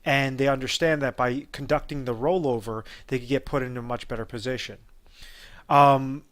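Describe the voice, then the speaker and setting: A man's voice: mid-range voice, steady tone, moderate pace, a bit bright. Male advisor with a mid-range voice, explaining off the cuff and full of ums. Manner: unscripted, many fillers and restarts. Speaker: retirement advisor. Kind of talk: explainer video talk.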